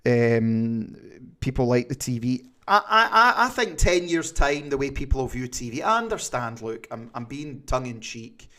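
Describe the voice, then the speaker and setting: A man's voice: medium pitch, sing-song, moderate pace, sharp tone. A man with a Glaswegian accent hosting a livestream, chatting casually and reading viewer comments, rambling with many fillers. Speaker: livestream host.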